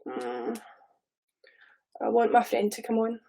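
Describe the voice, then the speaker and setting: A woman in her thirties with a Scottish accent, talking loosely and rambling to her viewers on a live stream. Her voice sits a little high, and she swears now and then between asides. She sounds like she is on a phone or webcam mic. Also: very animated, takes it slowly, vocal fry, dark tone, slight background noise. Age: 30s. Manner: chatty, rambling, swears casually. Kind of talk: casual live stream chat.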